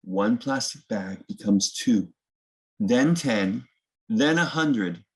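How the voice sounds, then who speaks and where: A man's voice: on the low side, expressive, slow, poor audio. A man hosting a children's picture book read-aloud, mixing story narration with casual explanatory asides.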